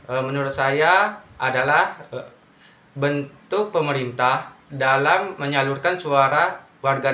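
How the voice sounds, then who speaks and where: A young male student, giving a prepared opinion in a halting, formal manner. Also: mid-range voice, pushed and bright. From West Kalimantan, Indonesia. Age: young adult. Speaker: university student interviewee. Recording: echoey room sound.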